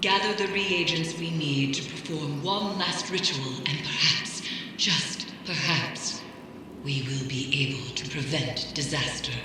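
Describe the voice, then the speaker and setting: A woman's voice: sits low, measured pace. A woman playing a grave mystic who warns of doom in a solemn, portentous way in a video game. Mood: solemn, urgent. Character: grave female mystic warning of looming doom. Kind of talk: dramatic scripted game narration. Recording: very echoey hall, noisy ambience.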